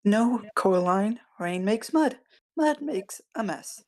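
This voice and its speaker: A woman's voice: medium pitch, lively intonation, slow, darkish tone. A woman playing a distracted, impatient mother of forty, curt and dismissive, in an amateur screenplay table read. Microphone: video call.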